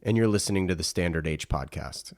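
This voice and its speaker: A man with a very low voice hosts a podcast, talking in a relaxed, keen way and dropping in short approving words. He speaks over a video call. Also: a little fast, very noisy. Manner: enthusiastic, frequent short affirmations. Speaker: podcast host. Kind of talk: casual interview chat.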